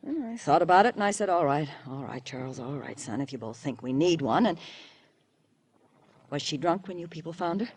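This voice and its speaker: Haughty middle-aged American wife, wide swings in pitch, impatient, scornful, vintage radio drama. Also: female voice, expressive, a little fast, darkish tone, husky. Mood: defensive, scornful. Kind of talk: 1940s-50s American radio drama acting.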